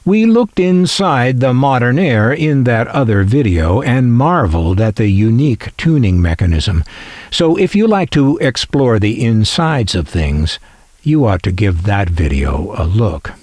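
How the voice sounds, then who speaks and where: Man, scripted narration, lively intonation, folksy wry manner, dry recording. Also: mid-range voice, a little slow, no room sound. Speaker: collector and narrator.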